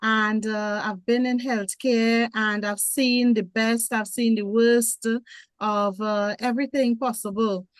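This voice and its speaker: A woman's voice: fairly high pitch, fairly even intonation, slow, dry recording. Trinidadian woman nurse, dry sound. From Trinidad and Tobago. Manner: warm, rambling, many fillers. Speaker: guest, nurse. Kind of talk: panel guest self-introduction.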